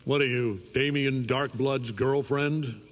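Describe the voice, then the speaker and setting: Male actor as arrogant alien warrior, slow measured delivery, menacing, condescending, video game banter. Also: mid-range voice, fairly even intonation, close to an everyday voice. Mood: cold, mocking. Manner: condescending, taunting. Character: menacing, arrogant superhuman alien warrior, mustached father in a cape.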